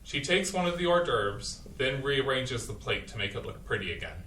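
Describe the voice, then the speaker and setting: A man's voice: medium pitch, sing-song, moderate pace, a bit bright. A man plainly reading the stage directions in a staged play reading. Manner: plain, matter-of-fact. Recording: echoey room, distant mic.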